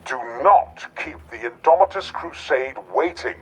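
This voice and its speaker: An actor playing a stern commander giving curt, urgent orders over a radio link, voice slightly husky. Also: male voice, fairly high pitch, lively intonation, measured pace, fairly bright tone, full character voice, poor audio.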